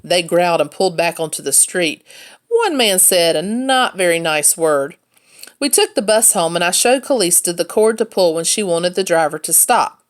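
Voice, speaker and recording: A woman's voice: lowish voice, lively intonation, normal speed. A woman reading a children's novel aloud as a first-person storyteller. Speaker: read-aloud narrator, teacher.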